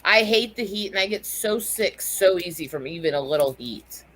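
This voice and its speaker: Emphatic American woman with a lowish voice. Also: expressive, normal speed, a bit bright, low-quality recording. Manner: emphatic, freely swearing. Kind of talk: casual chat.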